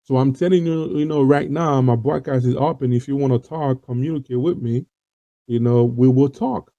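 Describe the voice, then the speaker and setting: A man's voice: mid-range voice, moderate pace, warm tone, no room sound. A man from Haiti with a Haitian accent, talking earnestly to his viewers in an informal monologue, full of fillers and repetitions. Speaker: host of an online talk show. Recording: dull lo-fi dry recording.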